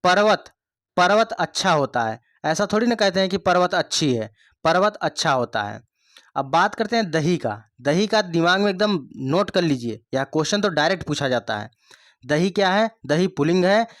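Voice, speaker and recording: A man with a fairly high voice leads an exam coaching lesson. He goes over each point again and again and quizzes his listeners with rhetorical questions. The sound is dry and close, with no room echo. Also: animated, moderate pace, fairly bright tone. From India. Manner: repeats points, asks the class rhetorical questions. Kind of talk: online Hindi grammar lesson.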